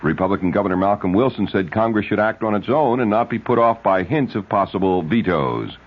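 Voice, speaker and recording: A man's voice: on the low side, lively intonation, brisk. A man reading a scripted 1970s radio news bulletin as anchor. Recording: dull, lo-fi old radio recording.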